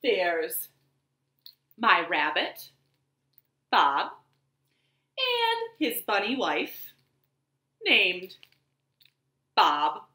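A young woman reads aloud to small children as a storytime host. Her voice is bright and forceful, with big melodic swings and an unhurried pace. It sounds like a home living room with noticeable echo. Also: medium pitch. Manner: animated, slow deliberate delivery for young children. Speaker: library storytime host and reader.

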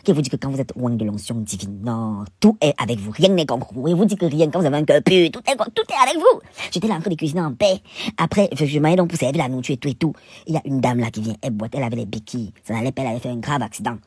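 A man's voice: medium pitch, animated, rapid-fire, a little mellow, husky, dry recording, clean studio sound. A man with a Cameroonian accent telling stories in a casual, chatty vlog voice-over, theatrical and exclamatory, with playful indignation. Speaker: cooking vlogger and narrator.

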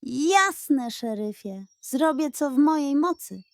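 A woman voicing a small, nervous but eager male hedgehog sidekick, earnest and excitable, in a children's story. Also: sits high, slow, no echo, studio quality, pitched above the performer's own voice, exaggerated voice. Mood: nervous, willing.

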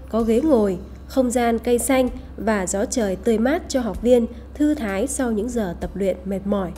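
A woman with a fairly high voice and a very soft, mellow tone narrates a promotional video. She reads from a script, and music plays clearly behind her. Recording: voice over background music.

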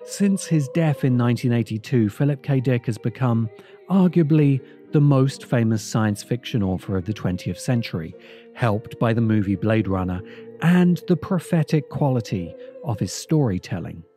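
A man narrating a scripted video essay in a measured manner, pausing for emphasis. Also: medium pitch, expressive, mellow. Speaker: host and narrator. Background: music.

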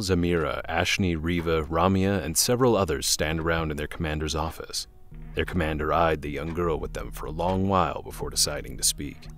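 Very deep male fantasy narrator.

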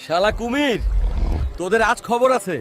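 Man as a high-pitched, blustering cartoon Hulk. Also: lively intonation, normal speed, noisy background. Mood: threatening. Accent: Bangladeshi. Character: boastful, hot-headed pot-bellied village Hulk, a hero. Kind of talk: comic cartoon dub. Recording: loud music over the voice.

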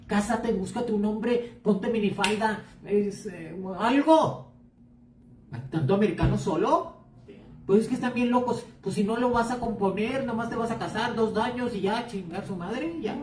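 A woman's voice: medium pitch, measured pace, a little mellow, a little hiss or hum. A woman with a Mexican accent, a psychic giving blunt, outspoken advice in a casual live chat. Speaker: psychic and tarot reader. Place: home room, indoors. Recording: echoey room, far from mic.

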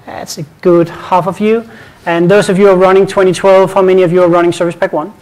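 A man with a Danish accent presents a technical session from a conference stage. His voice sits fairly high for a man. He talks casually, often repeats words and slips in light jokes. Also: level tone, quick pace, a little mellow, low-quality recording. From Denmark.

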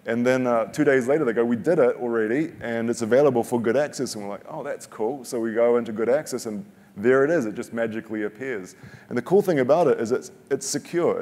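A man's voice: medium pitch, a little mellow. A man in his forties giving a casual, joking live product demo on a conference stage, often stopping and restarting his sentences. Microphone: headset mic. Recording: long reverb of a big hall.

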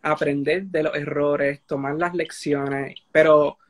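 A man in his twenties with a Puerto Rican accent, joining a livestream over a phone video call, chatting in a warm, quick way. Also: fairly high pitch, steady tone.

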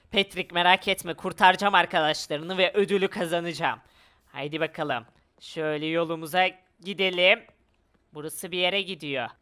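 A woman's voice: mid-range voice, fairly bright tone. A woman giving playful game commentary, with dramatic exclamations as she works through obstacles. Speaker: gaming video player. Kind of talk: playful Minecraft adventure commentary.

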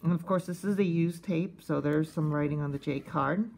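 A woman giving casual, unscripted hands-on review commentary in a halting, conversational way, thinking aloud. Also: deep voice, gravelly, darkish tone. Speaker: host of a cassette collecting video channel. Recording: faint background noise, casual tablet recording.

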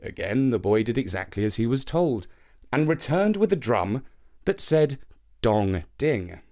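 A man reading a children's folktale as an expressive storybook narrator. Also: medium pitch, normal speed.

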